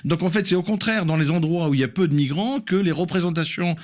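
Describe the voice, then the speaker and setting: A man's voice: a little high, fast. A man speaking as an academic guest in an interview, explaining analytically and repeating words for emphasis.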